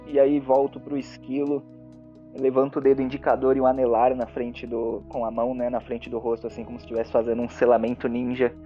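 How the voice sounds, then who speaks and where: A man's voice: mid-range voice, monotone, a little fast, muffled recording, soft background music. A man playing a tabletop game, casually describing what his character does.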